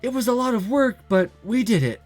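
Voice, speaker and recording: A sweet, clumsy young female Santa, put on by a man in a high, cracking falsetto that swoops up and down. She sobs and berates herself, her voice soft and broken. Faint music plays underneath. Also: lively intonation, a little fast. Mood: cheerful. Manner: high breaking falsetto, sobbing and self-pitying. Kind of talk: amateur voiced story reading.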